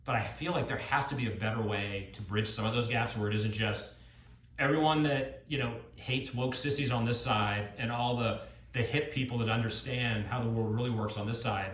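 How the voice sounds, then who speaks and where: A middle-aged man speaks at a live author event in an echoey space. His pitch is average for a man, and he talks in a thoughtful, unscripted way, often qualifying and rewording as he goes. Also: steady tone, normal speed, a bit bright. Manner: reflective, hedging, circling back to rephrase. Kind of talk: thoughtful extemporaneous talk and audience Q&A. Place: live book event with an audience.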